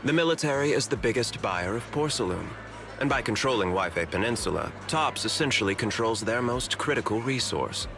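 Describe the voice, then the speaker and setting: A man playing a shrewd, candid corporate manager in a video game, speaking in a polished, measured, explanatory way. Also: medium pitch, lively intonation, gravelly, over music. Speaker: corporate executive and security head. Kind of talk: scripted video game dialogue.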